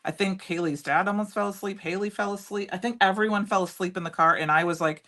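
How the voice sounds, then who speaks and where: A middle-aged woman with a loud voice, chatting and rambling as she demonstrates a craft project live and answers viewers by name. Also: mid-range voice, fairly even intonation, fast. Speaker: crafting livestream host. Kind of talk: casual chat while demonstrating crafts.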